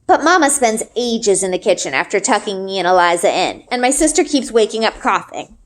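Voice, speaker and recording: A woman's voice: mid-range voice, sing-song, fairly quick. A woman reading a story aloud expressively, as a teacher would, acting out the dialogue.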